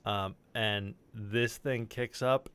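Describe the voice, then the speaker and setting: A man's voice: lowish voice, steady tone, slow pace, a bit bright, clean tone. A man chatting casually on a livestream voice call, enthusiastic and slangy, prone to swearing.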